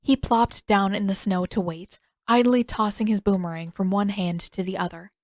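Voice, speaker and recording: A woman reading scripted fiction as an expressive audiobook narrator. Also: medium pitch, moderate pace, dry recording. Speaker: narrator and author.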